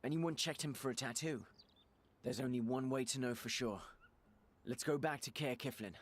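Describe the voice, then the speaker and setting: A man's voice: mid-range voice, normal speed, close to an everyday voice. A man playing a stern, cautious fantasy adventurer in a video game, speaking formally and curtly. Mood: accusing. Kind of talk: dramatic video game dialogue.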